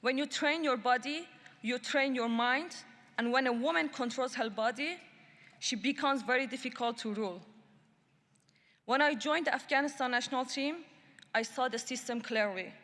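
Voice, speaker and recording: A woman from Iran giving a prepared speech at a human rights summit. She speaks in a deliberate, measured and emphatic way. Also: sits high, fairly bright tone. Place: large conference hall. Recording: long hall reverb.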